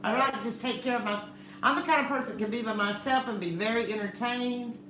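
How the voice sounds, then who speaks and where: Loud, chatty woman with a bright voice. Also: a little high, fast talker, reverberant, a little hiss or hum, low-quality recording. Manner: chatty, laughs often, teasing. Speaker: channel co-host. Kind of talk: casual chat.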